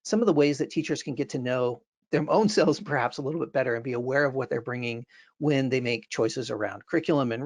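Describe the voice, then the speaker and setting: Gravelly man hosting a webinar. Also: medium pitch. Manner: upbeat, earnest, with fillers. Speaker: webinar host. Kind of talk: webinar interview. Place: home office. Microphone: earbuds on video call.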